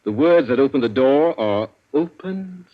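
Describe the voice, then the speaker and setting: Smug polite british gent held captive, bit husky, explains things precisely, dull old radio sound. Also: male voice, mid-range voice, lively intonation, a little slow. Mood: wry, self-satisfied. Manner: suave, precise, leisurely explaining. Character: urbane, cunning male captive inventor, smugly polite. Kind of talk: old radio suspense drama acting.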